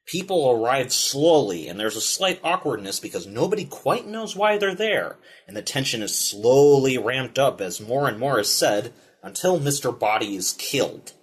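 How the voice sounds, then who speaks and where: Male review host, mid pitch, highly varied intonation, scripted video-essay narration. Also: sing-song, normal speed. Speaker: film reviewer and show host.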